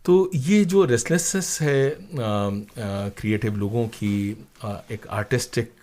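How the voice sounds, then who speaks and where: An older male professor with a medium-pitched voice. He speaks reflectively as an interview guest, pausing over his phrasing and stressing his points. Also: darkish tone. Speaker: interview guest, professor and former radio drama producer. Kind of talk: conversational interview, reflective recounting.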